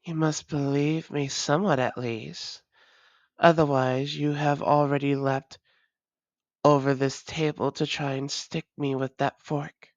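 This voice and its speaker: A woman playing a wry, doting female vampire lover in an intimate audio roleplay, speaking in a measured, formal way with teasing endearments. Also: deep voice, level tone, no echo, lo-fi sound. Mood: exasperated.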